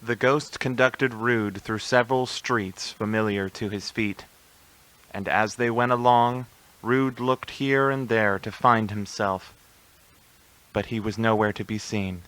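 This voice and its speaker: An actor playing the storyteller of a Christmas ghost tale, narrating in a measured, literary way. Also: male voice, on the low side, fairly even intonation, a bit bright, rough recording. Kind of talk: scripted storybook narration for radio play.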